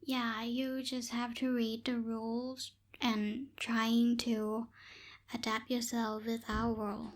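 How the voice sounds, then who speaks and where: Woman acting as aloof tsundere witch, high soft voice, very slow, ASMR roleplay. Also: flat delivery. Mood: explaining, businesslike. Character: aloof tsundere night witch, lazy, businesslike young woman. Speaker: voice actor in audio roleplay.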